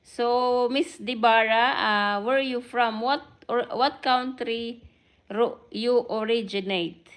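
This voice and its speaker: A woman's voice: a little high, steady tone. A middle-aged woman from the Philippines with a Filipino accent, chatting live with viewers, greeting them by name and mixing in Filipino phrases. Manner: code-switches, greets commenters by name, self-described fast speaker. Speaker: vlogger hosting a livestream. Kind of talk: casual live chat with viewers.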